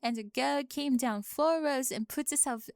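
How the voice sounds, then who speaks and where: Young French exchange student, played by a woman, French accent, higher register, forthright and wry, formal wording. Dry-recorded ASMR drama. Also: moderate pace, vocal fry, smooth, no echo. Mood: dryly exasperated. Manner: blunt, precise, formal phrasing. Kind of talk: ASMR roleplay audio drama.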